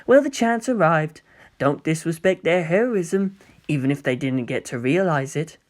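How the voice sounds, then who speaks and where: A man voicing a sly young angel-hybrid villain in a story, gentle, coy and theatrically musing. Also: on the high side, expressive, moderate pace, close to an everyday voice. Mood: gently mocking.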